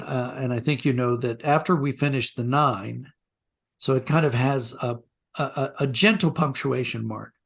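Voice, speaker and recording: A man with a mid-range voice speaks as a guest in an interview. He is reflective and thoughtful, often halting to restate a phrase before carrying his point on. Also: mid-range voice, creaky. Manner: thoughtful, halting, rephrases himself.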